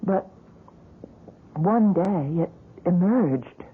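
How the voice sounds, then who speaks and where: A husky, tender young woman in a 1970s radio drama. Her delivery is halting and earnest, with big swings in pitch. The sound is narrow and muffled, like an old broadcast. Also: lively intonation, heavily acted. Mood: wistful, earnest. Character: troubled, tender young woman in love, pleading. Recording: muffled, narrow-band vintage radio recording.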